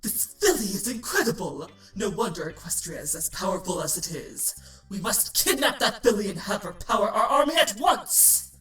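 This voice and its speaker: A woman's voice: medium pitch, very animated, moderate pace, fairly bright tone. A woman playing a regal, scheming, calculating changeling queen in an audio drama. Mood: excited, scheming. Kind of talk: fan audio drama acting. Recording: roomy echo, music underneath.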